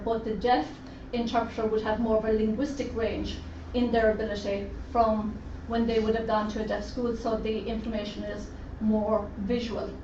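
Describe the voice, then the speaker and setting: Woman, interpreter voice, fairly high, distant dull recording with faint hiss. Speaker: sign language interpreter voicing a deaf presenter. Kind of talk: first-person interpreted presentation. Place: conference room at a roundtable event. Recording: roomy, distant, dull lo-fi sound with faint noise.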